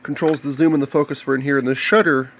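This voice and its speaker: An American man talking casually and off the cuff as he shows electronics, explaining in a halting, plain-spoken way. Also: mid-range voice, fairly even intonation, fairly bright tone, a little hiss or hum. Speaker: hobbyist video maker showing electronics. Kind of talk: casual unscripted show-and-tell. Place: indoors, cluttered home workshop.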